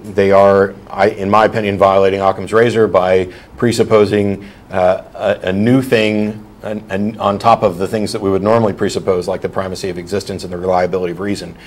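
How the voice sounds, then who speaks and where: Male call-in host, low voice, blunt and argumentative, long explanations. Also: level tone, brisk, few pauses. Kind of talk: call-in show chat.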